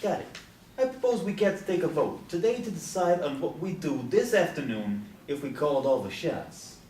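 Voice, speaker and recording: A man's voice: on the high side, expressive, moderate pace, darkish tone, lightly acted. A man acting in a stage play as an eager, aging Beatnik ringleader with an emphatic delivery. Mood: rallying. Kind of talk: stage play scene reading. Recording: echoey room from far off, faint noise.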